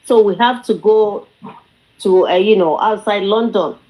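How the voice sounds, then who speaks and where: A middle-aged Nigerian woman, a native Yoruba speaker, chatting casually in a hesitant, halting way with a Nigerian accent. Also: mid-range voice, warm tone, low-quality recording. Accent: Nigerian Yoruba-language. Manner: hesitant, halting, often says "you know". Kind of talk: casual chat.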